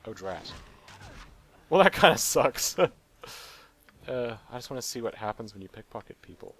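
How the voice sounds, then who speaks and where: A man's voice: medium pitch, very animated, normal speed, fairly bright tone. A man casually commenting on his own gameplay, joking and talking to the game's characters. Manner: playful, jokey, talks to game characters. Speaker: let's play gamer.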